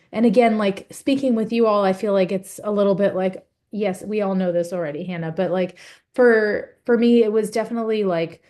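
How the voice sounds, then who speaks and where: A young woman speaking on a webinar panel over a video call, earnest and halting, with many fillers and restarts. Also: medium pitch, warm tone. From United States. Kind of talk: webinar testimony.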